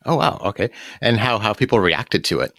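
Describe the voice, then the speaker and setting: Fast-talking male podcast host. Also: fairly low pitch, very animated, creaky, fairly bright tone, dry recording, studio quality. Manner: plain, brief questions. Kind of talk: casual interview questions.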